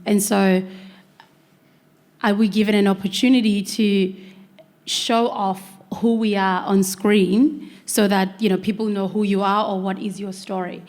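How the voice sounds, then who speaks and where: A woman's voice: medium pitch, flat delivery, a little slow, a little mellow. A woman panelist who speaks earnestly and hesitantly, with many fillers and restarts. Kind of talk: panel discussion.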